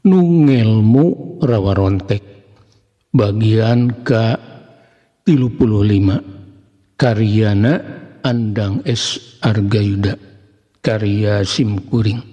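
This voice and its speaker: Long reverb, male storyteller, Sundanese accent, slow pace, formal measured delivery, scripted dramatic narration. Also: on the low side, dark tone, muffled recording. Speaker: narrator of Sundanese serial tales.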